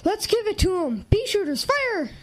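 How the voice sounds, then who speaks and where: A woman playing an eager, bossy child prankster, an excitable and scheming twin, in a radio drama. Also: extremely high pitch, moderate pace, faint music behind. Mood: excited.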